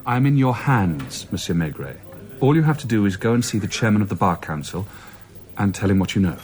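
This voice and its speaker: An actor playing a scheming lawyer in a radio drama, speaking formally and insinuatingly. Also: male voice, lowish voice, animated, a little fast, darkish tone, natural voice. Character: smooth, scheming lawyer cornered by police. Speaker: actor in a detective radio play.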